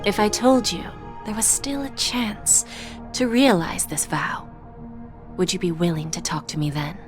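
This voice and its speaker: An actress voicing a composed, patient, cryptic woman offering a bargain in a video game, speaking in a measured, formal, portentous way. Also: mid-range voice, expressive, natural voice. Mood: persuasive, formal. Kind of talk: scripted game voice acting. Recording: game audio under clear music.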